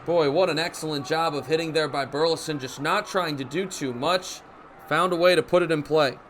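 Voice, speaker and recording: A young man calling baseball play-by-play for radio in crisp, descriptive phrases, as live sports commentary. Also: on the high side, moderate pace, slight background noise. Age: young adult. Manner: crisp, descriptive phrases with excited calls on big plays. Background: ballpark ambience.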